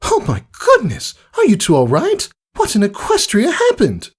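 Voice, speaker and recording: Storybook role: ladylike, theatrical unicorn mare male performer, fussy and genteel, high swooping pitch. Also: huge pitch swings, moderate pace, slightly rough, full character voice. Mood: shocked, concerned. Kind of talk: character voice in fan fiction reading.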